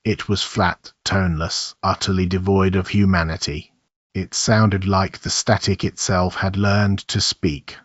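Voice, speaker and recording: Very deep-voiced man narrating horror. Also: steady tone, unhurried. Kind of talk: scripted horror story narration. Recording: muffled, roomy echo.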